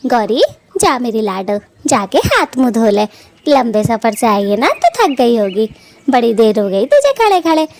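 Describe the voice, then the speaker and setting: A woman's voice: fairly high pitch, very animated, a little slow, darkish tone, slight background noise. A woman voicing a fond, scolding, matchmaking elder sister bird in a cartoon story, with a homely, bossy, nagging manner. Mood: fussing, coaxing. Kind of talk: animated cartoon story dialogue.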